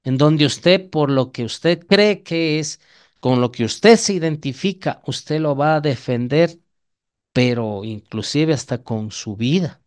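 A man's voice: on the high side, slow, clear, resonant tone. A man with an Ecuadorian Spanish accent preaching an evangelical sermon in a didactic way, often putting questions to his listeners.

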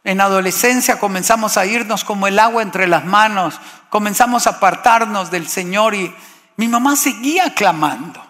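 A man's voice: high voice, moderate pace, sharp tone, big-room sound. A man from Costa Rica preaching a sermon, impassioned and building to exclamations.

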